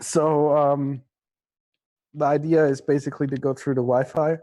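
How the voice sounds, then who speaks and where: A man's voice: on the high side, flat delivery, moderate pace, mellow. A man who grew up in Singapore, with a somewhat American accent, talking informally and bluntly as a guest in a casual livestream interview, rambling as he goes. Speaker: interview guest, drone company representative.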